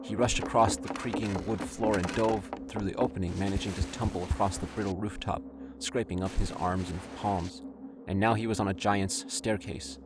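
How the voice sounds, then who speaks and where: A man narrating a scripted story in a dramatic style, as the narrator of an audio drama. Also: lowish voice. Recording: loud music behind the voice. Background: loud dramatic music.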